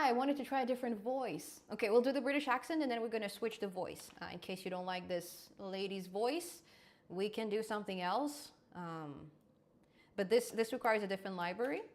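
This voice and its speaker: A woman's voice: medium pitch, moderate pace. Chatty woman hosting a coding stream. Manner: chatty, laughs often, restarts words. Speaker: programming channel host. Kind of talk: live coding stream chat.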